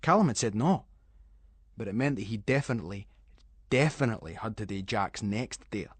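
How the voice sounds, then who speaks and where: A man's voice: on the low side, animated, fairly quick, breathy edge, no room sound. A man with a Scottish accent giving a dramatic, suspenseful narration of a story in colloquial Scots dialect.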